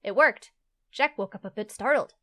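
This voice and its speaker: A woman's voice: medium pitch, normal speed, sharp tone. A woman reading scripted fantasy story narration as the narrator of an audio play.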